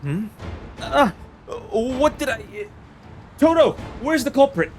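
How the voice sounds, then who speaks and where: A man's voice: extremely high pitch, sing-song, takes it slowly, slight background noise, exaggerated voice. A man playing an eccentric young genius detective, intense and flippant, in an anime fan dub. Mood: flustered, muttering. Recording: voice over background music.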